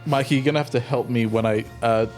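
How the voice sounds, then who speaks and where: A man with a middling pitch plays a tabletop game, talking casually and a little tentatively as he thinks aloud. Faint music sits behind him. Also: fairly even intonation, fast talker, a little mellow. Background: faint background music.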